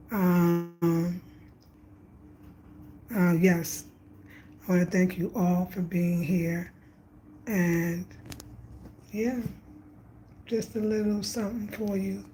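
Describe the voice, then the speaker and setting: Woman, 50s, from New York; mellow, unhurried vlogger musing on spirit and travel, faint room noise. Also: medium pitch, rough recording. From Brooklyn, New York. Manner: animated, reflective, repeats phrases for emphasis. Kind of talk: casual spiritual reflection, livestream.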